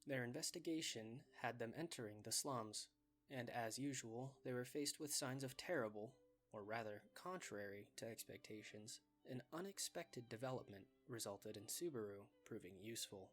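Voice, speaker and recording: A man's voice: mid-range voice, moderate pace, a bit bright. Male story narrator over music.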